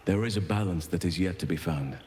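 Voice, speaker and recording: An actor playing a gentle, weary nature idealist in a video game, speaking in a measured, earnest way. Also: male voice, low voice, a little hiss or hum, close to an everyday voice. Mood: wistful, earnest. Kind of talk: video game dialogue acting.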